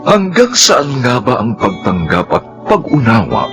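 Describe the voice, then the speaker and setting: A man's voice: mid-range voice, huge pitch swings, measured pace. A Filipino man narrating a radio drama in a solemn, scripted style. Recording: radio broadcast with loud music.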